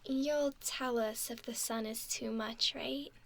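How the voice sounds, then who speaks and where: A gentle young mermaid played by a woman coos in a soft, breathy ASMR whisper. Her voice sits fairly high and drifts into creaky fry. She speaks very slowly, doting and soothing. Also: steady tone. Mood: doting, reassuring. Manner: slow, soothing, cooing whisper and soft speech.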